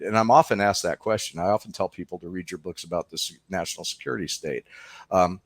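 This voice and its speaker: A man's voice: fairly low pitch, no room sound. A man talking conversationally as a documentary filmmaker being interviewed.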